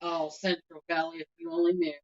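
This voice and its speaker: A woman's voice: fairly low pitch, big pitch swings, a little fast, creaky, dull audio. A woman chiming in on a casual livestream with teasing asides. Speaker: host's girlfriend, co-host.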